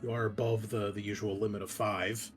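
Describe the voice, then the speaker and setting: A man's voice: lowish voice, flat delivery, brisk, over music. A man hosting and running a tabletop game session, chatting casually and welcoming his players in a friendly way. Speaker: game master and show host.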